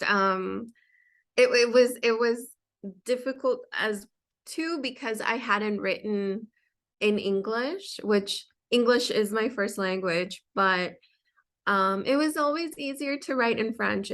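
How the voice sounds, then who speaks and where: A woman's voice: mid-range voice, a bit bright. A young adult woman, a designer and singer, telling her story as an interview guest in a chatty way full of fillers and restarts.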